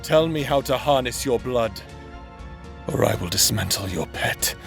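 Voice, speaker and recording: A man voicing a menacing, scheming male necromancer in a roleplay audio drama, speaking in a calm, threatening, theatrical way. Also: mid-range voice, a little slow, creaky, faint music behind.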